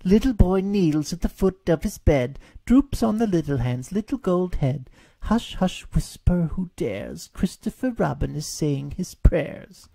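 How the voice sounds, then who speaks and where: Eerie storybook creatures with children's voices, acted by a man: taunting, lilting chant, raised pitch. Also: expressive, a little slow, vocal fry, dark tone, no echo, heavily acted. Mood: mocking. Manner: sing-song, mocking. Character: eerie, mocking sing-song children's voices from monsters.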